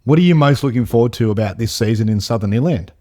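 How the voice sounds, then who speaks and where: A man hosting a casual podcast in an office, jokey and quick to laugh. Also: medium pitch, expressive, warm tone. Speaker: podcast host.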